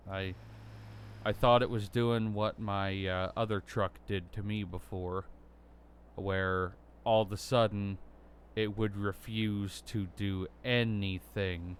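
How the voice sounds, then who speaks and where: A young American man with a bassy voice, rambling through casual commentary while playing a driving game, full of ums and likes. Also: fairly even intonation, slow, slight background noise. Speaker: gaming YouTuber.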